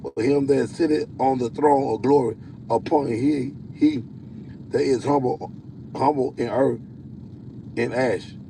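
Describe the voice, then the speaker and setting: A man with a deep voice preaching on a livestream. He reads scripture slowly, stumbling and repeating words. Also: steady tone, gravelly, background noise. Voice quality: self-described deep voice. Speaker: self-styled prophet hosting a livestream.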